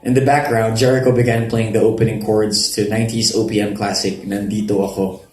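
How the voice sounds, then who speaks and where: A man's voice: lowish voice, level tone, fairly quick. A man narrating a romance story in a live dramatic reading. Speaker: narrator reading a novel excerpt. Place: roomy event venue at a public reading. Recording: echoey live venue, audible background noise.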